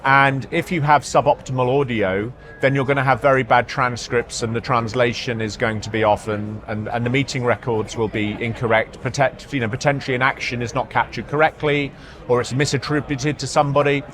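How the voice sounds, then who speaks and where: A man speaking as an executive in a product interview, halting, often repeating words and hesitating as he explains. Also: medium pitch, steady tone, fairly bright tone. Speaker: marketing executive being interviewed. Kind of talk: product interview at a trade show. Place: trade show floor.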